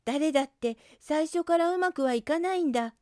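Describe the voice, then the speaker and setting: A woman's voice: sits high, normal speed, dark tone, no room sound, studio quality, pitched above the performer's own voice, lightly acted. A woman voicing a kind, earnest young male rabbit in a storybook, coaxing and emphatic. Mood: urgent, reassuring.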